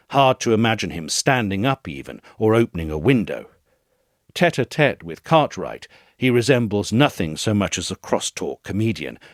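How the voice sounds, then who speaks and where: Male essay narrator: low pitch, lively intonation, measured literary read, articulate, very dry close sound. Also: no echo. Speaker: narrator reading an essay aloud.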